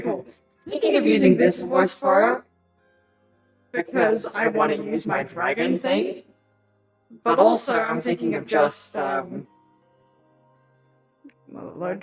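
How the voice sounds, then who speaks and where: A woman with an Australian accent, chatting casually with a fellow roleplay player in a sweary, laid-back way. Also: mid-range voice. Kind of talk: casual chat.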